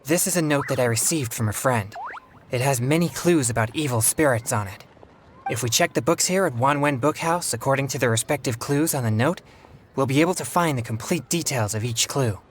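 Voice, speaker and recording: An actor playing a polite, earnest young male exorcist in a video game, speaking in formal, courteous, measured phrases. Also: medium pitch, slight background noise, close to an everyday voice. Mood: earnest, pondering. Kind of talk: scripted video game dialogue.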